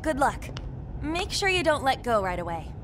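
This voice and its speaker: A woman playing a spirited, earnest teenage heroine in an anime game, with an expressive manner. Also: sits high, normal speed, background noise.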